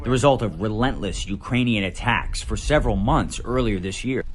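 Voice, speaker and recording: American male TV correspondent, low voice, dramatic measured voice-over, faint noise behind. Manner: dramatic, measured delivery with deliberate pauses. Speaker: TV news correspondent. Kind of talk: scripted news voice-over narration.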